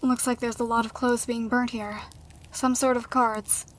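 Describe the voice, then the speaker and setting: A woman playing a calm, analytical young detective in an audio drama, speaking in a composed, matter-of-fact way. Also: a little high, a little slow, a little hiss or hum, close to an everyday voice. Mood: composed, deductive. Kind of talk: amateur audio drama dialogue.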